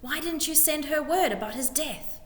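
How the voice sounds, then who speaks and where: A woman's voice: high voice, normal speed, pitched above the performer's own voice, close to an everyday voice. A woman voicing a scheming, bullying male lord in a storybook reading, with snarled orders and oily false sympathy. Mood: snarling, impatient. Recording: echoey room with long reverb.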